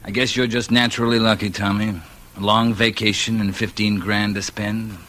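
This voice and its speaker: Man acting a low-voiced, menacing radio gangster boss. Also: little pitch movement, moderate pace, fairly bright tone, natural voice. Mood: falsely genial. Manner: genial, understated menace. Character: smooth, menacing male gangster boss, coolly amused. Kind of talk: 1940s radio crime drama acting.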